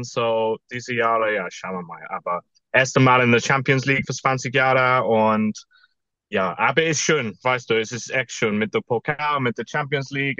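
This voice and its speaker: A man from England with an English accent, speaking haltingly as a non-native guest in a casual chat. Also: medium pitch, bright, forceful tone, no room sound. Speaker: podcast guest, quiz contestant. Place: parked in a car. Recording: dull, lo-fi, dry sound.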